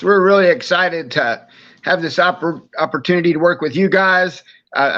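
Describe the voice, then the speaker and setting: A male coach talks casually as a guest, his voice bright and forceful and a little high for a man. The sound is dull and lo-fi. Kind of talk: casual introductory talk.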